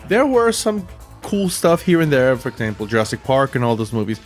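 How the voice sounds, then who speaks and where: A man's voice: mid-range voice, very animated, fairly quick, soft background music. A man co-hosting a podcast, chatting casually about movies in a reactive, emphatic way. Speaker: podcast co-host. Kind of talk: casual movie review chat.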